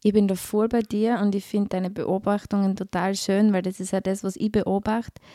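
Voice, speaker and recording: A woman's voice: mid-range voice, flat delivery, normal speed, mellow, no room sound, clean studio sound. A woman explaining things conversationally and with emphasis as a podcast guest. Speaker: podcast guest, social worker.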